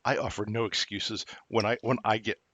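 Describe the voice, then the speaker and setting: A middle-aged man co-hosting a podcast in casual chat, rambling with many halting restarts and fillers. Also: fairly low pitch, little pitch movement, a bit bright, no room sound. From New Jersey. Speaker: podcast co-host. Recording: dull lo-fi dry recording.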